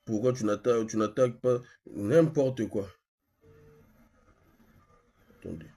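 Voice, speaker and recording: A man from Congo with a Congolese accent and a husky voice, speaking insistently as a live-stream host, repeating phrases and asking rhetorical questions. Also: medium pitch, expressive, moderate pace, warm tone. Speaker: online activist host. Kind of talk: live-stream monologue in colloquial speech, with a phone call.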